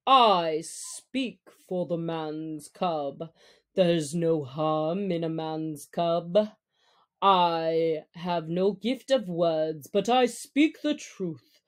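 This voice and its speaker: A kindly old brown bear from a storybook, acted out by a woman. The voice is pushed down low and moves very slowly, ponderous and sleepy, like an aged teacher. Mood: earnest, steady. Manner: slow, ponderous, grunting.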